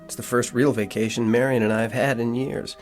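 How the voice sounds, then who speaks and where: A man's voice: medium pitch, flat delivery, brisk, darkish tone, faint music behind, natural voice. An actor playing an American businessman in his thirties, a family man who gets emphatic and indignant when confused, in an audio drama. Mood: brisk, cheerful. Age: 30s.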